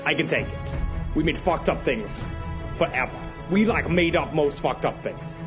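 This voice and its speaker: Man doing a high-pitched zany mad scientist. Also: lively intonation, moderate pace, natural voice. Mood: manic boasting. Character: zany ex-German government scientist hunting cryptids. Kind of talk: comedy audio drama. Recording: music behind the voice.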